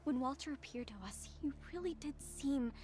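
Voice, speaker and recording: Remorseful, higher-voiced young woman. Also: expressive, normal speed, slight background noise. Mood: ashamed, regretful. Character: remorseful, earnest young woman, once a believer. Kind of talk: earnest video game cutscene acting. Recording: loud music behind the voice.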